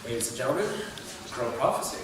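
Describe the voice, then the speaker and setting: A man's voice: mid-range voice, animated, fast talker. Man, live host announcement, heavy echo, very noisy, distant mic. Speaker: event host introducing authors. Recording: echoey, distant mic, loud background noise.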